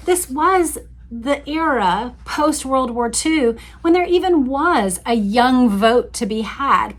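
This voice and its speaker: A woman hosting a casual read-along, commenting conversationally and musingly as she reads aloud and talks to her viewers. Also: high-pitched. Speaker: host reading and commenting on an old magazine.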